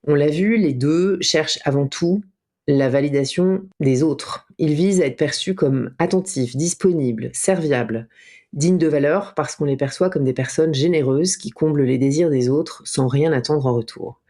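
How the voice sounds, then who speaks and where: A woman co-hosting a podcast, reading a calm, steady explanation in the manner of a coach. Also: deep voice, normal speed. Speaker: podcast co-host and enneagram coach. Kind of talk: read explanatory narration.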